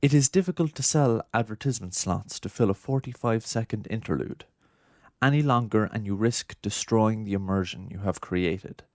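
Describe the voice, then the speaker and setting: A man's voice: lowish voice, moderate pace, no room sound, studio quality. A man reading scripted narration for a documentary.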